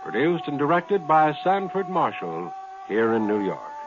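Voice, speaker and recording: A man's voice: on the high side, very animated, a little slow, background noise. A man announcing closing credits in the style of 1950s American radio. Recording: muffled vintage radio broadcast recording.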